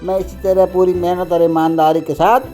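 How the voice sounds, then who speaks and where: A woman's voice: fairly low pitch, level tone, moderate pace, mellow, faint music behind, close to an everyday voice. A woman voicing a humble, grateful royal cook in a Hindi cartoon, respectful and earnest. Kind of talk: Hindi animated story acting.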